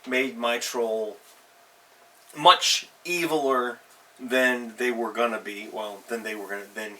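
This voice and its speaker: A man hosting a role-playing advice show, telling anecdotes in a casual, halting monologue and often correcting himself as he goes. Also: medium pitch, fairly even intonation, bright, forceful tone. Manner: halting, self-correcting, rambling. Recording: distant mic with faint background noise.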